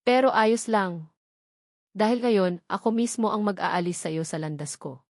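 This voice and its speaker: A woman's voice: medium pitch, unhurried, no room sound, clean studio sound, close to an everyday voice. Woman voicing a scheming, jealous rich woman, falsely sweet. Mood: bitter, angry. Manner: mocking, taunting, mixes English into Tagalog.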